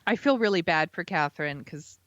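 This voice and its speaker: Female podcast co-host, deep register, casual and exclamatory, outspoken, a little muffled in the recording. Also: lively intonation, fairly quick, fairly bright tone, no echo. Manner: blunt, sweary, exclamatory. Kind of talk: casual chat, reacting to a story.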